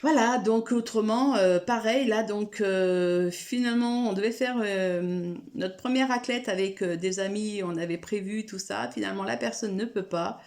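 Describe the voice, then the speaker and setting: Chatty woman with gravelly voice. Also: mid-range voice, moderate pace, few pauses, darkish tone. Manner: rambling, many fillers and restarts. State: has a cold. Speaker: coloring vlogger. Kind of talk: casual chat to camera. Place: room at home.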